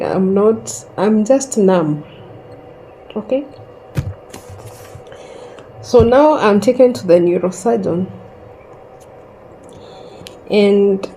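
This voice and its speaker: A woman in her thirties slowly telling a personal story in a calm, reflective way, pausing often. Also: medium pitch, a little hiss or hum, low-quality recording. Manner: slow and reflective, with long pauses. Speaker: host sharing a personal story.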